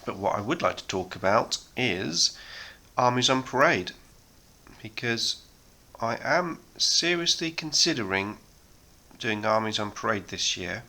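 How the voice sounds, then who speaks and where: A man in his thirties hosting a miniature painting chat, talking casually with many ums and a slightly hoarse voice from a sore throat. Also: fairly low pitch, measured pace. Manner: relaxed, rambling, many ums. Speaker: hobby painting channel host.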